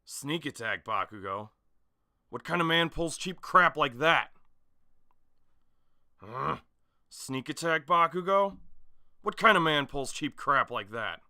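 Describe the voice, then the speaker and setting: Casting: male actor as brash young anime superhero student, bright forceful voice pushed higher, emphatic comedic dub delivery. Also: expressive, slow, long pauses, no room sound, studio quality, full character voice. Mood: annoyed, angry. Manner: punchy, emphatic, indignant or eager.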